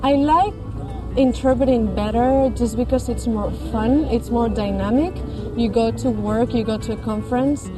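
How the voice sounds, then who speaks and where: Woman, interpreter, emphatic explainer, fairly high pitch, music underneath. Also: normal speed, poor audio. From Basque Country, Spain. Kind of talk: documentary interview. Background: background music.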